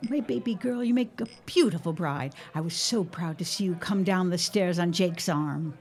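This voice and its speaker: An actress playing a doting, gently wry middle-aged 1910s mother in an audio drama, coaxing as she talks. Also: lowish voice, normal speed, natural voice. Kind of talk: period audio drama acting.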